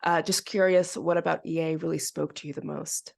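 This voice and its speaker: Female interview host, lowish voice with slight rasp from a lingering cold, casual and chatty delivery. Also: steady tone, a little fast. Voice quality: slightly raspy. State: getting over a cold.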